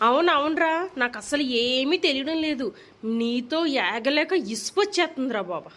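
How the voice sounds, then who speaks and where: A woman's voice: high-pitched, expressive, moderate pace, fairly bright tone. A woman voicing an exasperated, nagging young mother in a comedy cartoon, with a Telugu accent and sarcastic, threatening scolding. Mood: sarcastic, fed up.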